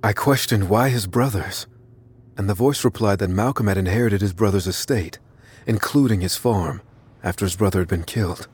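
A man playing an anxious, unassuming narrator who recounts his own mystery in a measured, confessional way for an audio drama. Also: fairly low pitch, a little mellow, breathy edge. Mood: tense, matter-of-fact. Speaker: narrator of a fiction podcast. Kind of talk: first-person dramatic scripted narration. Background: faint music.

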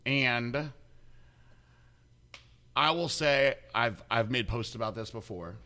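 A man's voice: medium pitch, takes it slowly, pushed and bright. A man hosting a casual interview, upbeat and chatty with frequent restarts. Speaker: host.

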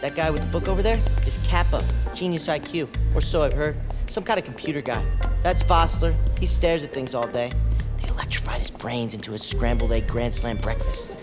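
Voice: mid-range voice, fairly quick, music in the background, natural voice. An actor playing a manic, paranoid, chatty psychiatric patient in an audio drama, rambling theatrically and slipping into whispers like a playful tour guide. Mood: playful, conspiratorial.

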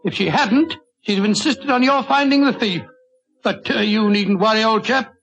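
An actor playing a hearty, good-natured gentleman companion with a British accent, blustery and avuncular, in 1940s radio style. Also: high-pitched, normal speed, highs cut off.

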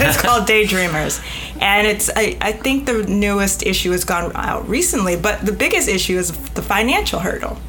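A woman's voice: mid-range voice, expressive, normal speed, sharp tone. A woman speaking frankly and conversationally as a podcast guest, stressing points through repetition. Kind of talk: casual interview talk.

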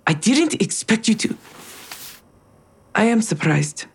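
Husky, wary female conspirator. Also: medium pitch, expressive, slow pace, full character voice. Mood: wary, surprised. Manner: terse, probing. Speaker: actor in a fiction podcast. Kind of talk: naturalistic audio drama acting.

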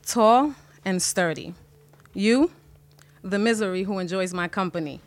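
A woman performing spoken word poetry at a reading, slow and measured. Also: mid-range voice. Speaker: poet reader.

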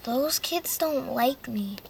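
A performer playing an earnest, bright, lonely little boy in an audio drama. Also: sits high, animated, slow, vocal fry, faint music behind, heavily acted. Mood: hurt. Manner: earnest, childlike. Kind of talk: audio fiction acting.

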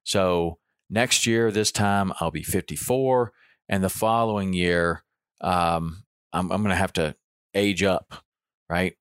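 Low-voiced man in his fifties. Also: fairly even intonation, slow, clear, resonant tone, no room sound, studio quality. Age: 50s. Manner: conversational, with frequent ums and restarts. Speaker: podcast host. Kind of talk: casual solo podcast monologue.

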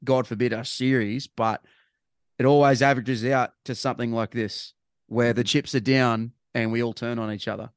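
A man's voice: medium pitch, fairly even intonation, moderate pace, clear, resonant tone, no room sound, clean studio sound. A man with an Australian accent chatting casually as a podcast co-host, rambling and restarting his phrases, with bursts of emphasis. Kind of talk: casual sports chat.

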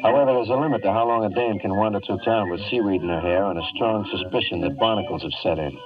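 A muffled old radio recording carries a male actor as a hard-boiled American wiseguy. He rattles along in slangy deadpan, wry and streetwise, a little distant from the mic. It is classic 1950s detective drama. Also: on the low side, quick pace, music in the background, close to an everyday voice. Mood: wry narration. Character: wisecracking streetwise male adventurer narrating his escapade.

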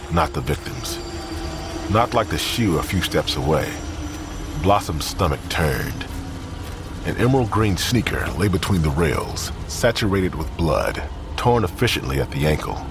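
A man narrating scripted crime fiction in a grave, deliberate storytelling manner. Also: very deep voice. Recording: clear ambient noise behind voice.

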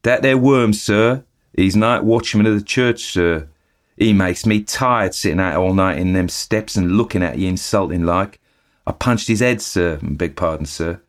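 A man voicing a young Cockney bellboy who talks in rough working-class speech, full of sirs. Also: deep voice, a little slow. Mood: chatty. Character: young Cockney bellboy, plain-spoken and earnest.

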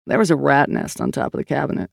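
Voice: mid-range voice, quick pace, creaky, no room sound. Brief: a narrator voicing a weary male detective partner, audiobook, dry and offhand, dead close sound. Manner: dry and dismissive. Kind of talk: audiobook character voice.

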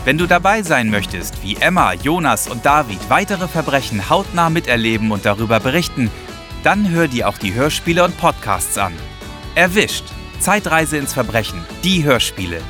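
Animated male announcer over music. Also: mid-range voice, normal speed. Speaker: promo announcer. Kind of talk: advertising voice-over. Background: prominent music with guitar.

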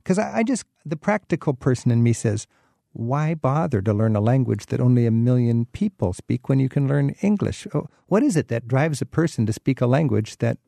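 A man hosting a travel radio show, curious and lively as he introduces and interviews guests. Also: mid-range voice, fairly quick, vocal fry, no echo, studio quality. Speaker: radio host.

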